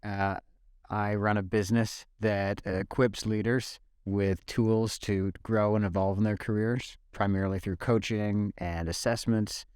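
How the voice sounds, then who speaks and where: A man's voice: on the low side, little pitch movement, a little slow, a little mellow, clear, resonant tone, dry recording, studio quality. A man from Ontario, Canada, in his forties, co-hosting a casual podcast with an upbeat, enthusiastic manner. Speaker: podcast co-host.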